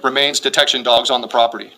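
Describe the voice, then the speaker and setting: A man's voice: mid-range voice, fairly even intonation, quick pace, bright, forceful tone, a little hiss or hum. A man reading out a formal press statement as a police spokesman, in official wording.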